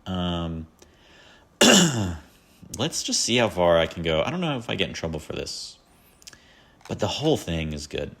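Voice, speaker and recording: Male podcast host, low voice, rambling and self-deprecating, casual solo chat. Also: very animated, normal speed, vocal fry, pauses often, a bit bright.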